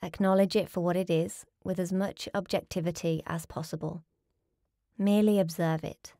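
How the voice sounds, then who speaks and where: A woman with a fairly low voice guides a restful meditation. She gives calm, soothing instructions and leaves gentle pauses between phrases. The recording is close and dry, with little room sound. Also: no echo. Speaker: yoga nidra teacher.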